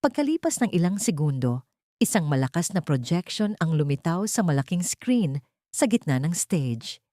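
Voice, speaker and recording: A woman narrating a scripted audio story in a deliberate, suspenseful, theatrical way. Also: fairly low pitch, big pitch swings, mellow, no echo, clean studio sound.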